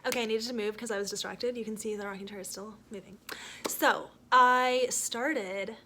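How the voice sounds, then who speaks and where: A young woman with a Canadian accent talks casually from her rocking chair at home. Her voice is bright and sits a little high, and she rambles along with lots of fillers and restarts in a relaxed vlog chat. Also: moderate pace, a little hiss or hum. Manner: chatty, rambling with fillers and self-corrections. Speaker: book vlogger.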